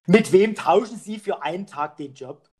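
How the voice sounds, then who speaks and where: An actor playing a cheeky, boozy pub philosopher captain who hosts a comic phone quiz. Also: male voice, high-pitched, animated, normal speed, a little mellow, breathy edge. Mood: joking. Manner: playful, joking, teasing. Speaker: host.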